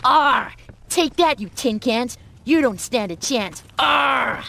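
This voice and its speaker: Game role: fierce battle-crying woman, forceful and rough-edged, defiant. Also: high voice, expressive, slow pace, full character voice. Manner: shouting, defiant. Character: fierce defiant female fighter taunting robots.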